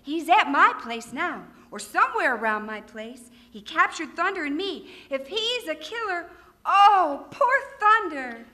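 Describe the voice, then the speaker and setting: Woman playing a plucky injured cowgirl rancher, high-pitched, bright and forceful, folksy Western talk, pleading, radio play. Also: big pitch swings, unhurried, exaggerated voice. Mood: worried, pleading. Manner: folksy Old West dialect, exclamatory. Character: plucky buxom female ranch owner, hurt, folksy and pleading. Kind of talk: old-time Western radio acting.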